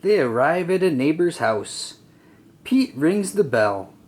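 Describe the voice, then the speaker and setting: A storybook narrator reading aloud for children in an expressive, deliberate way. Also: medium pitch.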